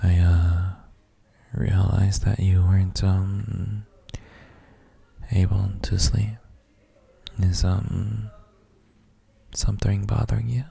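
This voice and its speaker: Brief: gentle boyfriend male actor for sleep ASMR; very dark, husky, breathy near-whisper, extremely slow, doting and soothing. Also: low voice, little pitch movement, full character voice. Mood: tender, concerned. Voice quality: very soft, breathy, gravelly, often near whisper. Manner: slow, hesitant, murmured reassurances with hums. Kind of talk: ASMR roleplay, guided sleep meditation.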